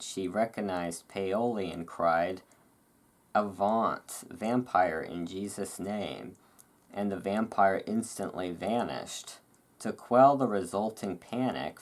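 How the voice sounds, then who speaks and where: Casting: low-pitched, slow-paced reader for relaxed ASMR storytelling, with chatty, informal commentary. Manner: informal, chatty, musing asides between read passages. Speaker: host reading aloud. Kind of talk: relaxed ASMR-style reading of vampire history with casual asides.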